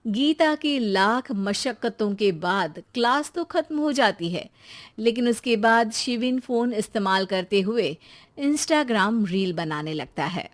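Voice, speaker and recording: A woman's voice: a little high, expressive, slow. A woman storyteller reading scripted narration for a comic cartoon story.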